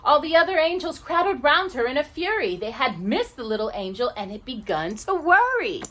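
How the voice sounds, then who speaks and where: Animated high-voiced woman reading stories. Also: normal speed. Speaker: storytime host reading aloud to a child. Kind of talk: expressive children's picture book reading with casual asides.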